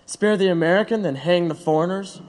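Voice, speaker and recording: A man's voice: high voice, fairly quick, darkish tone, a little hiss or hum, natural voice. A man playing a principled, earnest condemned American prisoner who stands firm in an audio drama. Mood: resolute. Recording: reverberant room.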